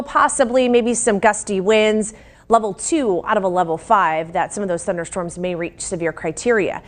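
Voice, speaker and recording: A woman with an American accent presenting a live TV weather forecast and news in a broadcast style. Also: mid-range voice.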